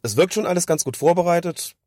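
A man, hoarse from a cold, giving long analytical explanations as a podcast co-host. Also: sits high, animated, fast talker, a little mellow, no echo, studio quality. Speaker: podcast co-host discussing refereeing. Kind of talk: casual podcast chat.

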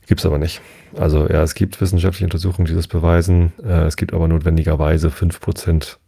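A man's voice: low-pitched, flat delivery, fast talker, gravelly, warm tone, husky. A man in his forties hosting a podcast, talking casually in a rambling way with many fillers and self-corrections. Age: 40s. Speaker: podcast host. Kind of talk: casual solo podcast monologue.

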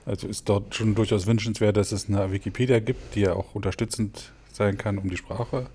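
A man's voice: fairly low pitch, steady tone, brisk, dark tone, slightly rough. A male podcast host who asks friendly, curious questions and introduces his guest. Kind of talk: podcast interview.

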